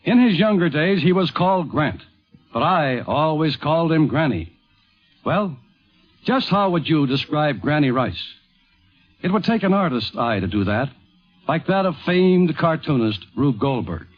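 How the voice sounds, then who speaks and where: A middle-aged man with a fairly high voice narrates in old radio documentary style. He is nostalgic and rhetorical, with music clearly behind him and the dull sound of a 1950s broadcast. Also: very animated, measured pace. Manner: nostalgic, rhetorical, addresses the listener. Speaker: narrator, sportscaster.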